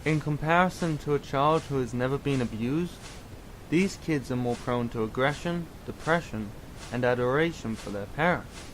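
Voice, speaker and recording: A man playing a grave, reflective young man, lecturing in a measured, explanatory way in an audio drama. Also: medium pitch, slight background noise, close to an everyday voice, low-quality recording.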